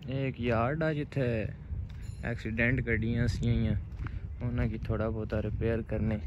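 A man's voice: mid-range voice, moderate pace, lo-fi sound. A young man with a Pakistani Punjabi accent, chatting casually to his phone camera while a vehicle hum runs underneath. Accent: Pakistani Punjabi (Pothwari). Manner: relaxed, chatty, chuckles between remarks. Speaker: truck driver vlogger. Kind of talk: casual vlog chat to camera. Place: open ground outdoors, evening. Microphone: phone at arm's length. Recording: phone video outdoors with clear traffic noise.

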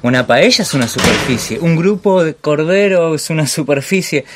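Actor as pompous master chef, kitchen clatter. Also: male voice, a little high, lively intonation, normal speed, background noise, lightly acted. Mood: musing, pompous. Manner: pompous, digressive, theatrical monologuing. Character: pompous, bullying male master chef, dreamy film snob. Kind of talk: radio play acting.

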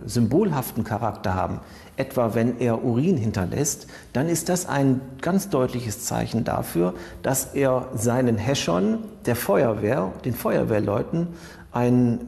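A man giving formal, measured, analytical commentary as an expert on criminal behaviour. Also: mid-range voice, gravelly, darkish tone. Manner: measured, formal, technical wording. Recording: long reverberant room sound.

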